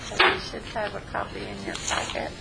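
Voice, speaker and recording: A woman's voice: medium pitch, huge pitch swings, fairly quick, gravelly. A woman with a scratchy voice reading a treasurer's report, matter-of-fact as she lists figures. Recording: noticeable background noise.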